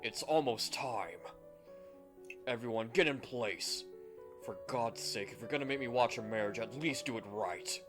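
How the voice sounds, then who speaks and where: A man's voice: medium pitch, normal speed, music in the background, lightly acted. A man voicing an irritable, haughty male villain who barks scornful orders in an anime fan roleplay. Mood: exasperated. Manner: scornful, barking, commanding.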